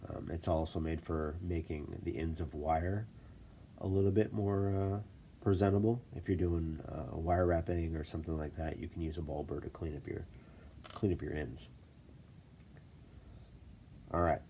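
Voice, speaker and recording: A man with a stuffy voice from a cold, casually explaining stone setting in a relaxed, self-deprecating way at his workshop desk. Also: deep voice, moderate pace, long pauses, a little hiss or hum. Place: workshop desk, filmed from above.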